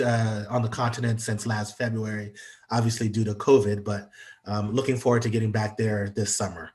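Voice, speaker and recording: A congested man gives an online presentation as a guest speaker, talking hesitantly with many fillers. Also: lowish voice. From United States. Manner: hesitant, lots of ums and uhs. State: congested from allergies. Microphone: video call.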